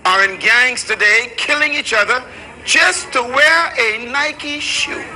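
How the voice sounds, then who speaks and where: A man's voice: very high-pitched, takes it slowly, heavy background noise. A man preaching a sermon to a room full of people, emphatic and rhythmic. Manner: emphatic, preaching to a live crowd. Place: large room with an audience.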